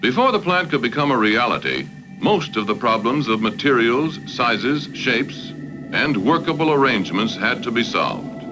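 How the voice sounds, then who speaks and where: Animated male film narrator over music. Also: mid-range voice, moderate pace. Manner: formal, expository. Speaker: documentary narrator. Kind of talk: scripted industrial film narration. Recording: archival film with loud music. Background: loud music.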